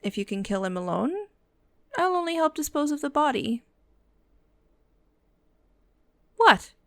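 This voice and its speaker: A woman's voice: sits high, big pitch swings, brisk, no room sound. A woman playing a bossy, protective immortal vampire in an ASMR roleplay, speaking with dry, commanding condescension. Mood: protective, darkly amused. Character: bossy, protective female vampire, immortal, businesslike and dryly menacing. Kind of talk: scripted ASMR roleplay audio, addressing the listener.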